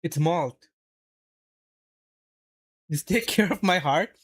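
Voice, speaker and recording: A man's voice: a little high, takes it slowly, breathy edge, no room sound. A man from Saudi Arabia casually chatting on a live stream, rambling and answering chat as he plays.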